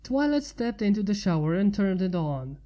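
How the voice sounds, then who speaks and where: A woman's voice: medium pitch, big pitch swings, normal speed, mellow. A woman reading fan fiction aloud as scripted narration.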